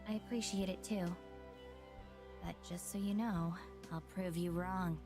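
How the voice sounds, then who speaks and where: A woman's voice: lowish voice, steady tone, unhurried. A woman playing a determined, reserved young woman in a supernatural visual novel. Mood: earnest, determined. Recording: quiet game audio under music.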